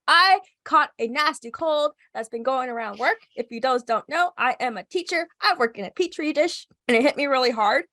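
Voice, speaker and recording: A woman's voice: high voice, animated, normal speed, hardly any pauses, sharp tone, no echo, clean studio sound. A young woman co-hosting a casual podcast, stuffy from a cold, chatting nervously with frequent laughs. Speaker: podcast co-host.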